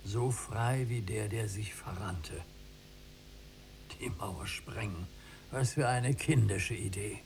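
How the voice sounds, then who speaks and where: An actor playing a weary old prisoner in a radio play, evasive and bleak, speaking deliberately in paradoxes. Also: male voice, on the low side, vocal fry, darkish tone, a little hiss or hum. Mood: probing. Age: older.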